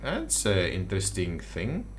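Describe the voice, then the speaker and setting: A man with a fairly low voice hosts a gameplay video in a casual style. He thinks aloud and mutters to himself as he works at the computer. Faint background noise and keyboard clicks sit behind his voice. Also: huge pitch swings, a little slow. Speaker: gaming video host. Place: indoors at a computer.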